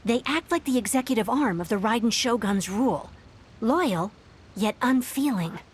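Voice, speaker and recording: A woman playing a gentle young exile in a video game, speaking in an earnest, measured storytelling way. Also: fairly high pitch, expressive, slight background noise, natural voice. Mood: serious, wary. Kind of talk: video game dialogue acting. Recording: game music behind the voice. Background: background music.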